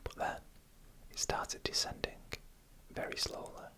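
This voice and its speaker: Whispering ASMR storyteller, bright and breathy. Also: unhurried. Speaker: narrator.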